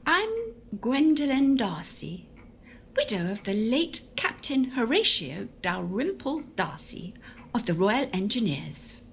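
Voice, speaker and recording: A woman playing a haughty, brisk English widow, bossy and formal, in an audio drama. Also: fairly high pitch, big pitch swings, vocal fry, slight background noise, exaggerated voice.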